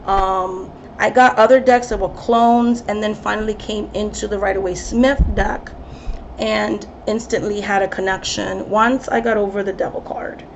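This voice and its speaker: A woman's voice: mid-range voice, steady tone, normal speed, creaky, a little hiss or hum. A woman tarot reader in her thirties talking casually to camera, chatty, with many ums and restarts. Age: 30s. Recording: slightly dull recording with faint ambience.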